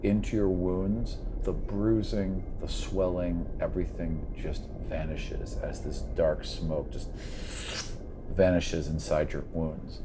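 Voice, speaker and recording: A man's voice: low voice, level tone, slow pace, background noise. A man narrating a tabletop fantasy game as its game master and host, in a vivid, conversational style.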